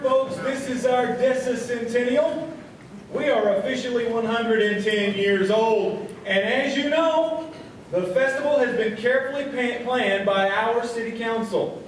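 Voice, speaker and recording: An actor playing a folksy, showmanlike small-town Oklahoma announcer in a comic old-time radio revue. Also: male voice, very high voice. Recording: echoey stage recording, distant mic, background noise.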